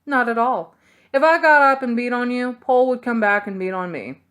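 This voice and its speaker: A woman's voice: fairly high pitch, moderate pace, fairly bright tone, clean tone, voice pushed up, natural voice. Woman playing a young male thief, sardonic, defiant, needling, slightly raised storybook voice. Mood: dry, mocking. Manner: dry, needling.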